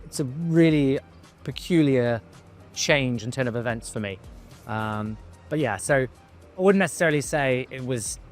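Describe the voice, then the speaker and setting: A man's voice: medium pitch, animated, moderate pace, faint music behind. A man who founded a company, speaking bluntly and informally on a casual panel.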